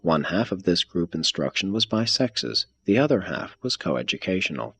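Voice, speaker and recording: A man reading a religious text aloud as scripted narration, in a measured, formal manner. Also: low-pitched, sing-song, a bit bright, breathy edge. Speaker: reader.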